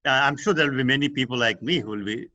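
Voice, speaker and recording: A man's voice: mid-range voice, fast talker, pushed and bright. An older man hosting an interview over a video call, hesitating with uh fillers. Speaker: host. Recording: dull lo-fi call audio.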